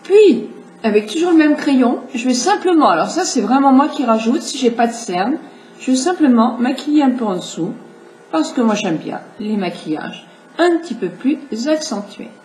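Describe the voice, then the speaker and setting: A middle-aged woman with a high, light voice, a little stuffy from a cold, talks viewers through her makeup in a chatty, explanatory way. She sounds roomy and echoey, with a faint hiss in the background. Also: lively intonation, moderate pace, a little mellow. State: has a cold. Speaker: makeup tutorial host. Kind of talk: casual makeup demonstration.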